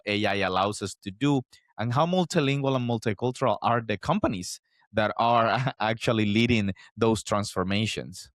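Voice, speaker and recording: A man's voice: on the low side, no echo, studio quality. A man hosting an interview, talking thoughtfully with a few fillers. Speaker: interview host. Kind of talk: conversational interview.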